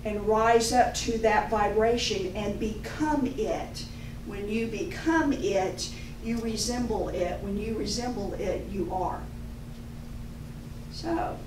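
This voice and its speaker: A woman, giving an inspirational spiritual teaching in a deliberate, emphatic, repetitive way. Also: mid-range voice. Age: 50s. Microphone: distant microphone. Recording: echoey room, distant mic, clear background noise.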